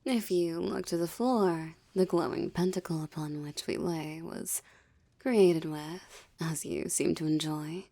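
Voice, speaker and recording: A woman playing a seductive, gloating succubus demoness in an intimate audio drama, teasing and haughty with theatrical delivery and breathy whispers. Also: low-pitched, unhurried, warm tone, heavily acted. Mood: smug, theatrical.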